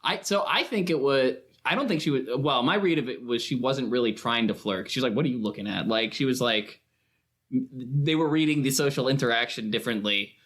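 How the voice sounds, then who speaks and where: A man's voice: medium pitch, fairly quick, a bit bright. Chatty man explaining in casual film talk. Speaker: podcast guest or co-host.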